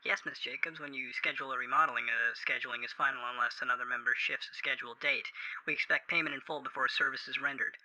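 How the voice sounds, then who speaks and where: A man's voice: mid-range voice, fairly even intonation, quick pace, bright, forceful tone, low-quality recording. A man playing an eerily courteous, inhuman corporate phone operator in a creepypasta audio drama, heard through a phone filter. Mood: coldly official. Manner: scripted, formal, coldly courteous customer-service patter.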